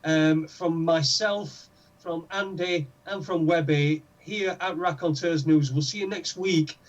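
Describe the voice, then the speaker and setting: A man's voice: on the high side, fairly even intonation, distant mic, highs cut off. A man hosting a talk show, signing off casually with lots of fillers and restarts. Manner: halting, full of fillers and restarts. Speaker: podcast host.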